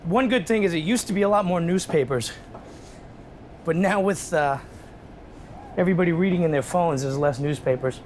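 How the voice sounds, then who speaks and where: A man's voice: a little high, moderate pace, long pauses, darkish tone, slight background noise. A man who cleans trains, chatting plainly about his work inside a rail car. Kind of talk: casual talk on the job.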